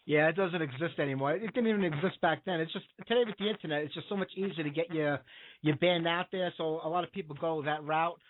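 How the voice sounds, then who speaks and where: A man hosting a radio interview, eager and chatty, stacking up fillers. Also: a little high. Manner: eager, fast talker with many fillers. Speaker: radio show host. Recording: tinny phone line.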